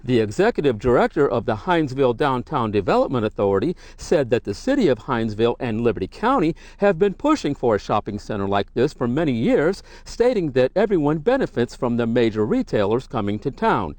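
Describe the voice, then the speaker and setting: A man's voice: medium pitch, sing-song, brisk, hardly any pauses, a little mellow, no echo. A man reading scripted local broadcast news as a reporter.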